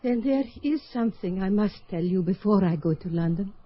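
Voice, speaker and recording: A woman's voice: mid-range voice, lively intonation, a little fast, close to an everyday voice. A woman acting an anxious but defiant young Russian skater, a devoted daughter, in a radio drama, with earnest, formal phrasing. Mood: hopeful, confiding. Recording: muffled lo-fi radio broadcast.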